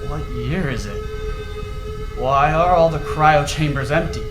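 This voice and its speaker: A man's voice: fairly high pitch, measured pace, music in the background, natural voice. A man playing an urgent, commanding starship captain in a sci-fi audio drama, giving clipped orders with growing desperation. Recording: echoey room, clear background ambience noise.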